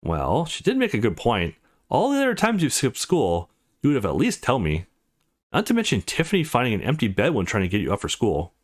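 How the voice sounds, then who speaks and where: A man's voice: mid-range voice, huge pitch swings, a little fast. Man voicing a brash, teasing tomboy girl. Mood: exasperated. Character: brash, teasing, foul-mouthed tomboy young woman, loyal friend.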